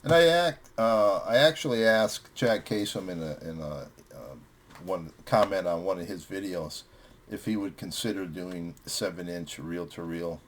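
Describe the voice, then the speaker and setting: A man's voice: sits low, unhurried. A man hosting a music vlog, chatting casually as he reviews records with friends.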